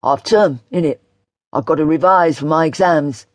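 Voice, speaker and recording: Woman doing a sardonic British teenage boy, husky. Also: deep voice, normal speed, darkish tone, dry recording, studio quality. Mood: exasperated. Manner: offhand, sardonic, slangy. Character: sarcastic, slangy, indignant British teenage boy.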